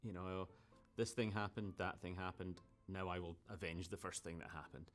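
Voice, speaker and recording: A man's voice: low-pitched, steady tone, normal speed, fairly bright tone. A man explaining creative decisions in a behind-the-scenes interview as a game developer.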